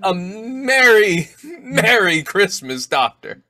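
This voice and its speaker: Man acting an overwrought father, bright swooping voice. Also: sits high, sing-song, soft background music, heavily acted. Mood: tearful gratitude. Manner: halting, emotional, repeats himself. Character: overwrought, tearful, grateful young father. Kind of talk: old-time radio drama acting.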